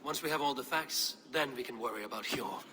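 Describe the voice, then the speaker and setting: An actor playing a stern, commanding Viking warrior in a video game, speaking in measured, decisive, terse phrases. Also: male voice, medium pitch, bright, forceful tone, slight background noise, natural voice. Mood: focused, grave. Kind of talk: cinematic video game acting.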